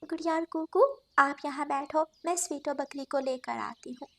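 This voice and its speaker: A woman voicing a caring, worried mother bird in an animated moral story. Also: high voice, normal speed, pitched above the performer's own voice. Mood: concerned, urging.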